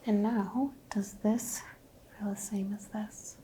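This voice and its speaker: A woman whispering gently as a doctor in an ASMR medical exam roleplay, asking soft questions. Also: medium pitch, level tone, slow, slight background noise.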